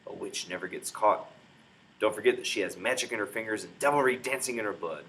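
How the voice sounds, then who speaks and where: A man's voice: lowish voice, fast, fairly bright tone, poor audio. A man reading a children's book aloud in a dramatic, emphatic storytelling manner. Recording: clear background noise, room ambience.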